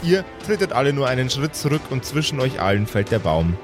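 A man's voice: medium pitch, big pitch swings, fairly quick, over music. A man acting as game master, narrating an improvised tabletop game casually, with some English gaming slang.

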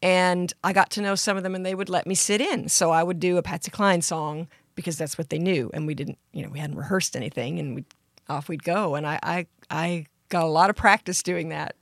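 A woman from Canada, a country singer guest, with a deep voice, talking earnestly in a casual interview. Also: fairly quick, no echo, clean studio sound.

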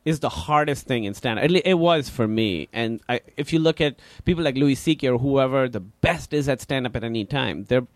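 A man's voice: mid-range voice, a little fast, hardly any pauses, no room sound, studio quality. A man with a Pakistani accent, a comedian and actor talking candidly and wryly in an interview, with many restarts. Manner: candid, rambling with restarts and wry asides. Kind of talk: casual interview conversation.

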